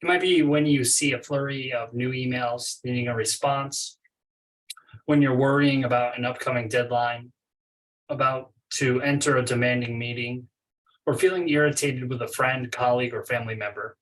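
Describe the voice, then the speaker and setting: A man leading a guided meditation as a coach, reading instructions in a measured way with pauses between phrases. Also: medium pitch, steady tone, a bit bright, distant mic, low-quality recording. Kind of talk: guided meditation, read from a script.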